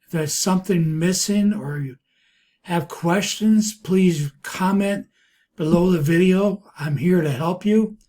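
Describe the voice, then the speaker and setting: Male tutorial reviewer, slightly high pitch, dark relaxed tone, halting delivery. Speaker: product reviewer. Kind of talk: screen-recorded software walkthrough. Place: voice-over recorded over a screen capture.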